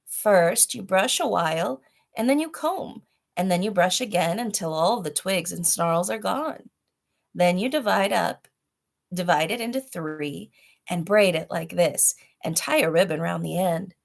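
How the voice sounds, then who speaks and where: A woman's voice: lowish voice, animated, unhurried, gravelly. A woman voicing a polite, resourceful young boy in a children's storybook, earnest and pleading in manner. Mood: helpful, instructive. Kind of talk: children's storybook read-aloud.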